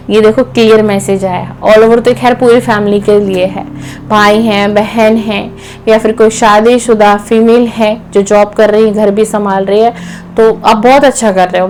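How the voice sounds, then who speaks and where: Soft-spoken woman giving spiritual advice. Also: fairly high pitch, slight background noise, poor audio. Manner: gentle, repetitive, advising with frequent "theek hai na". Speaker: tarot card reader. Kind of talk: spiritual guidance talk to viewers. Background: faint music.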